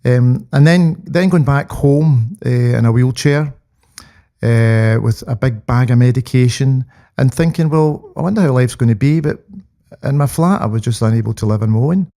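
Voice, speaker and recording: Middle-aged Scottish male guest, creaky and mellow, reflective personal recollection. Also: mid-range voice, normal speed. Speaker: podcast guest.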